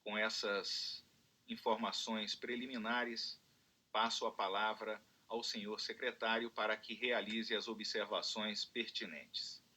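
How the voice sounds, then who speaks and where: Presiding judge, man, Brazilian Portuguese accent, measured formal delivery, bright tone. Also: lowish voice, little pitch movement. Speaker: court president. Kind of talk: formal opening of a court hearing.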